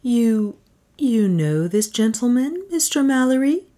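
A narrator puts on a starry-eyed woman office worker from a comic story. She is breathy and fawning, with a raised, lilting voice that gushes in easy adoration. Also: on the high side, very animated, unhurried, dark tone, voice pushed up. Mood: hesitant.

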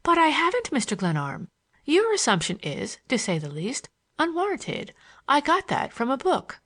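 Woman reading as a pert, high-pitched teenage schoolgirl. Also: huge pitch swings, moderate pace, heavily acted. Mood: mock-formal, coy. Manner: bright, teasing, girlish chatter. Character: playful, pert teenage schoolgirl, cheeky and romantic.

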